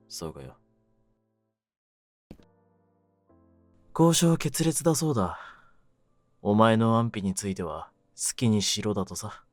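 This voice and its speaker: A man playing a jaded, domineering yet secretly tender young mafia kidnapper in an audio drama, speaking low in a rough, cool, deliberate way. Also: a little mellow, no room sound, natural voice. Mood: cold, resigned. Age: young adult. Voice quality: low-pitched. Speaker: voice actor in a situation voice drama. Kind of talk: Japanese situation-voice audio drama addressed to the listener.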